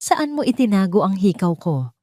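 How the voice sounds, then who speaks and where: A woman voicing a cold, menacing wealthy stepmother in an audio storybook, with a sharp, commanding way of accusing. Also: mid-range voice, very animated, normal speed, dry recording, studio quality, voice pushed up, lightly acted. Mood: angry, accusing. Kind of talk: dramatic audio storybook dialogue.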